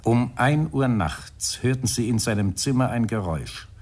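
A man's voice: medium pitch, lively intonation, darkish tone, lightly acted. An actor playing a probing, irritable male police inspector in a radio crime drama, weary, sardonic and insistent. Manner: measured, insistent questioning, grumbling.

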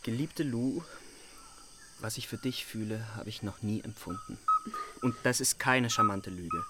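An actor playing a courteous, lovestruck suitor in a radio play, with a hesitant, pleading manner. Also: male voice, medium pitch, expressive, a little slow, noisy background, natural voice. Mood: ardent. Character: lovestruck, courteous male suitor, self-declared pessimist. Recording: outdoor ambience with chirping insects.

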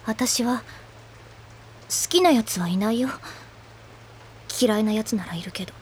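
A woman playing a prickly, blunt high school girl in an audio drama, with a curt, sharp-tongued delivery. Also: unhurried, a little hiss or hum, close to an everyday voice. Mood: irritated, scornful. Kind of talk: Japanese audio drama acting.

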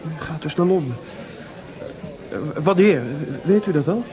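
A man's voice: a little high, measured pace, crowd noise, lightly acted. A man playing Mozart as an anxious, lovesick composer who hesitates and stammers, in a Dutch radio play. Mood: unsettled. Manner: hesitant, stammering when unsettled.

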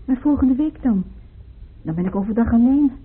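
An actress playing an anxious, fragile former patient in a radio play, plaintive and hesitant. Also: female voice, on the high side, animated, moderate pace, breathy edge. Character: anxious, fragile woman just out of hospital, pleading. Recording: narrow phone-like radio play, faint noise.

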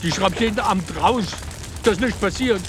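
A man's voice: high-pitched, moderate pace, noisy background, natural voice. An actor playing a desperate carpenter in a radio drama, stammering and pleading as his workshop burns. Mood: defensive, agitated. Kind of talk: German radio drama acting.

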